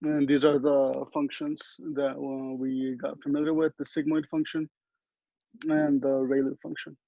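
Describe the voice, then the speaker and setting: A man's voice: medium pitch, little pitch movement, measured pace, gravelly, dark tone, no echo. A young man explaining a project as a student presenter, on dull lo-fi audio. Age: young adult.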